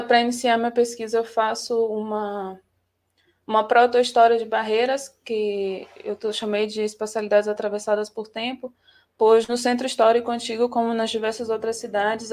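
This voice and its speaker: A woman's voice: on the high side, little pitch movement, moderate pace, darkish tone. A woman from Salvador presenting her research paper at a remote academic conference, walking through her slides informally and correcting herself as she goes. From Salvador, Bahia, Brazil. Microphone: computer mic for a remote talk.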